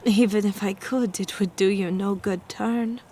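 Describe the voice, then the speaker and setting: An actress playing a wary, gentle young village mother of ancient times in an audio drama, formal and hesitant. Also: medium pitch, steady tone, unhurried, a little hiss or hum, natural voice. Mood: grave.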